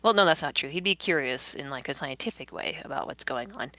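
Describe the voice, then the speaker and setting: Low voiced woman chatting about making her comic, kinda rambly, thinking out loud. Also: expressive, brisk. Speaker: webcomic creator guest. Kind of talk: casual behind-the-scenes commentary.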